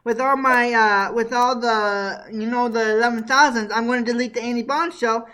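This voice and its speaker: A man with a high voice hosting a podcast, talking in an excitable, casual way and laughing easily. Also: moderate pace, rough recording. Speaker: podcast host. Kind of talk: casual chat.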